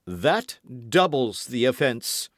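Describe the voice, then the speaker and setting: A man's voice: medium pitch, sing-song, slow, dry recording, studio quality, voice pushed up. A man reading an audiobook, voicing a boastful, scheming musketeer in a raised, grand, wheedling voice. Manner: grand, wheedling, mock-melancholy.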